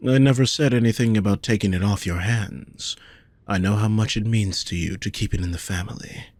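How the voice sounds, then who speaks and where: Man as a low, husky possessive nobleman. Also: a little mellow, no echo, close to an everyday voice. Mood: earnest, devoted. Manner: formal, measured, deliberate phrasing. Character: possessive, lovesick wealthy nobleman, haughty and coaxing. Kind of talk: scripted romantic audio roleplay, addressed to the listener.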